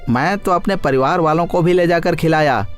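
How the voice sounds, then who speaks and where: A man voicing an enthusiastic office worker in an animated story. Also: on the high side, normal speed, soft background music, close to an everyday voice. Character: enthusiastic male office worker praising food. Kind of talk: animated moral story dialogue.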